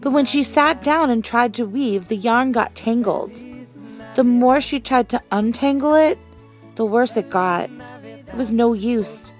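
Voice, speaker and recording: A woman reading a children's storybook aloud as a teacher, with expressive, deliberate phrasing. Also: a little high, faint music behind.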